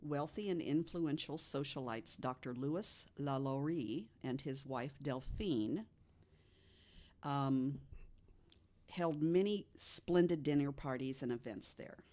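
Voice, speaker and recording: A woman telling stories conversationally as a podcast guest, with deliberate, emphatic phrasing. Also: a bass voice, dark tone. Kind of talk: conversational storytelling of ghost stories.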